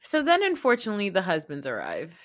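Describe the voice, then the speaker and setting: A woman's voice: medium pitch, huge pitch swings, fast. A woman co-hosting a podcast, recapping reality TV in a blunt, casual way and laughing often. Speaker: podcast co-host.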